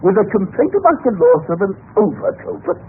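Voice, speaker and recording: An actor playing a haughty, accusing police superintendent with deliberate, condescending delivery and a husky voice, in a radio drama. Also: male voice, sits high, sing-song, full character voice. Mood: scornful outrage. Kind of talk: old-time radio drama acting. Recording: tinny vintage radio recording.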